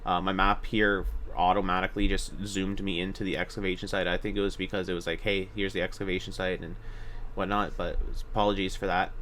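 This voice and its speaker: A man's voice: low voice, little pitch movement, brisk, fairly bright tone, slight background noise. A man narrating a video game walkthrough in a casual, informal way, hesitating and correcting himself as he gives directions. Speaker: gaming video guide narrator.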